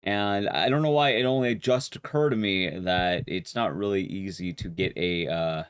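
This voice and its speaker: A young man with a loud, carrying voice chatting casually with his viewers while streaming a game, rambling and restarting sentences as he goes. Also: clean tone. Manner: rambling, restarts sentences, lively rises and drops in pitch. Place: his room at home, soundproofed.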